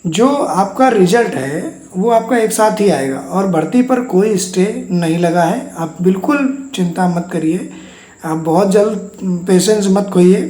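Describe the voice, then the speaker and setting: Mellow voice in an echoey room. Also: mid-range voice. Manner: repetitive, reassuring. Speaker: host of an exam news channel. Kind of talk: informal news update explainer addressed to exam candidates.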